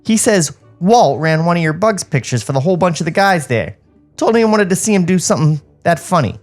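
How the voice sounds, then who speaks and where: A man's voice: high-pitched, lively intonation, fairly quick, faint music behind, voice pushed up. A man voicing an eager, chatty young animation assistant in a comic script read. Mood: eager, gossipy.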